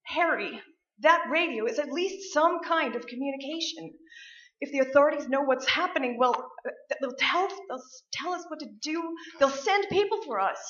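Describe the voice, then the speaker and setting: A woman's voice: high-pitched, animated, normal speed, a bit bright. An actress playing a firm, reproachful wife and worried mother in a staged radio play, with a pointed way of talking. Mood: urgent, firm. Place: live stage performance in a room. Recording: dull, echoey stage recording.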